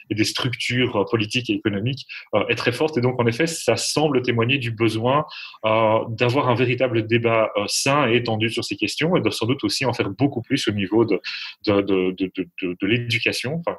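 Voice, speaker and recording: A man's voice: on the low side, husky. A man giving spontaneous expert commentary as an interview guest, hesitantly repeating small words as he thinks.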